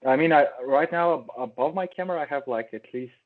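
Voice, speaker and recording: A man from Bulgaria, a guest on a podcast, talking in a relaxed way over a video call, with a few stumbles and restarts. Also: fairly high pitch, fast talker. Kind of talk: casual remote interview chat. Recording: tinny phone-like call audio.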